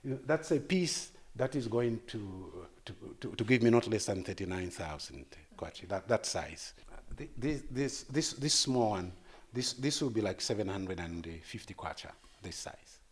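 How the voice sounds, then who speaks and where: Sixty-year-old male artist in interview, lowish husky voice, halting and repetitive phrasing. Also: animated. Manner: halting, repeats words as he thinks. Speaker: artist and gallery founder being interviewed. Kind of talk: unscripted interview answer.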